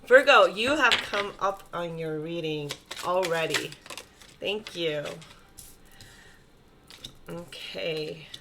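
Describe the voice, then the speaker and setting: A woman with a stuffy, congested nose giving a gentle, reassuring spiritual tarot reading and often checking in with her listeners. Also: fairly low pitch, takes it slowly, pauses often, background noise. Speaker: tarot reader.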